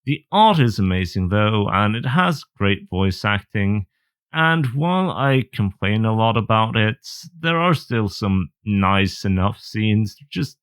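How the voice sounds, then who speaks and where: A man with a fairly low voice reviews media in a semi-scripted commentary style. He speaks bluntly, with hesitant filler-laden phrasing as he lays out his opinions. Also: lively intonation, slow pace, a bit bright. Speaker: video reviewer of anime visual novels.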